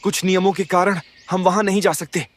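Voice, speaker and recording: A man voicing a loyal young wolf commander in an animated fable, respectful and eager in manner. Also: high-pitched, fairly quick, lightly acted. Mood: indignant. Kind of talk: Hindi animated moral story dialogue.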